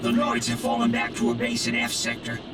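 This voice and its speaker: Game bit part: jumpy robot soldier male actor, barking on one note, buried in very loud noise. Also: medium pitch, completely flat delivery, fairly quick, distant mic, close to an everyday voice. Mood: urgent, dutiful. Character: robot army trooper, jumpy and gung-ho.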